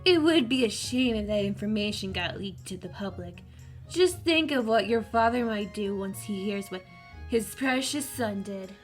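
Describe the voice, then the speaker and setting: A woman's voice: medium pitch, expressive, normal speed, close to an everyday voice. A woman voicing a confident, teasing young schoolboy with superpowers, upbeat and friendly, with faint music behind. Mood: smug. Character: cocky, cheerful young schoolboy with powers.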